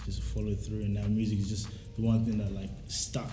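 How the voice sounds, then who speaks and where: Young man, low-pitched, laid-back slangy interview chat, roomy echo, faint music. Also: flat delivery, a little fast. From South Sudan, raised in Australia. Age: young adult. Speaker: musician, rapper and producer being interviewed.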